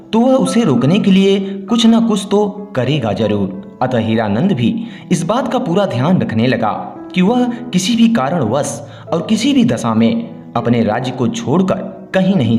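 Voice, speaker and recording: A man's voice: fairly high pitch, darkish tone. A man narrating a scripted moral story as a storyteller. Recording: roomy echo, music behind. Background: background music.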